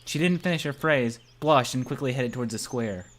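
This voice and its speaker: A man's voice: medium pitch, a little fast. A man reading a visual novel aloud as narrator and player, in a casual, playful, theatrical way.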